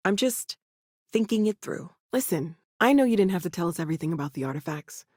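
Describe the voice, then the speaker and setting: An earnest, caring scientist in a sci-fi game. Her voice sits a little low for a woman and is recorded very dry. She talks in a natural, thoughtful, expressive way. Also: fairly quick, dry recording. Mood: calm, reassuring. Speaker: video game voice actress. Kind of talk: naturalistic video game dialogue acting.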